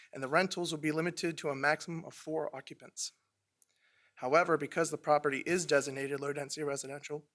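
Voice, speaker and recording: A man on county planning staff, presenting a formal, measured report to a commission. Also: fairly high pitch, fairly bright tone. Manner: measured, reads from prepared text.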